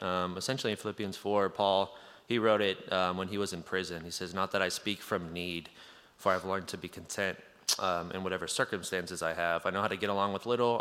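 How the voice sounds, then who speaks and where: A man's voice: low voice, bright, forceful tone. A man in the audience asking a question, friendly and a little nervous, with many fillers. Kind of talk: casual question from the floor. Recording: reverberant hall.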